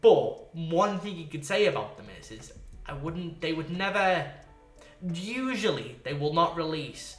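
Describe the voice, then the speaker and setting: A man with a somewhat high voice co-hosts a casual podcast. He talks in an excitable, rambling way with plenty of swearing. The room around him is clearly echoey. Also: a little slow, soft background music. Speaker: podcast co-host.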